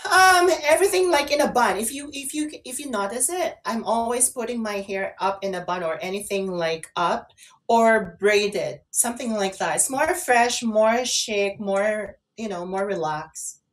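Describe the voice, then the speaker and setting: A woman's voice: medium pitch, lively intonation, a little slow. A woman co-hosting an online radio show, talking in a casual, conversational style. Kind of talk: casual chat and listener shout-outs.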